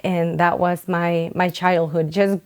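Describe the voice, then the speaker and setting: A woman's voice: on the low side, level tone, takes it slowly. A young woman from Honduras, founder of a nonprofit, giving an earnest, heartfelt testimony with some hesitations. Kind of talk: documentary testimony interview.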